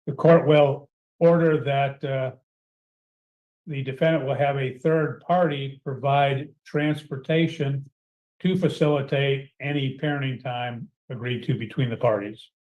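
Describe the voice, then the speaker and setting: Guy judge reading out court orders, level voice, formal and steady. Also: medium pitch, highs cut off. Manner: measured, formal, reads out orders.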